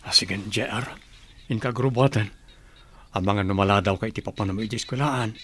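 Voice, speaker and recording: A man's voice: fairly low pitch, fairly quick, fairly bright tone. A man acting a troubled, resigned husband and father with failing eyesight in a Filipino radio drama, speaking with a Filipino accent. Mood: weary.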